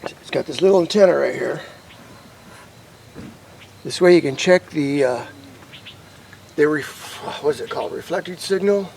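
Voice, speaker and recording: An American man with a slightly high, creaky voice talks informally, as if working things out aloud while he shows his gear. His delivery is casual and rambling, and there is clear background noise around him. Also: unhurried, long pauses, darkish tone, rough recording. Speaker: hobbyist vlogger demonstrating CB radio gear. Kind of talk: casual rambling demonstration to camera.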